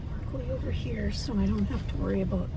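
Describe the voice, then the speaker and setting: A woman with a raspy voice, chatting frankly to her viewers while driving, swearing freely. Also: medium pitch, quick pace, distant mic. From Canada. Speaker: vlogger. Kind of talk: casual chat while driving. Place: inside a car. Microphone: phone or camera mounted in the car. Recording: car and road noise behind.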